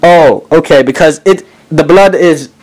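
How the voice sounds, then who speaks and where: A man making a prank phone call, talking haltingly and acting clueless and evasive. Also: a little high, darkish tone. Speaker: prank caller.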